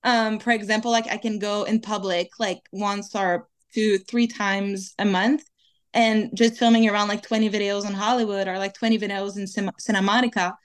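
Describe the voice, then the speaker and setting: A woman's voice: mid-range voice, level tone, moderate pace, clear, resonant tone. A young woman from Quebec whose first language is French, speaking English with a Quebec French accent as an interview guest, chatting casually with lots of "like" and "kinda". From Quebec, Canada. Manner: chatty, many "like" and "kinda" fillers. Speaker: guest, dancer.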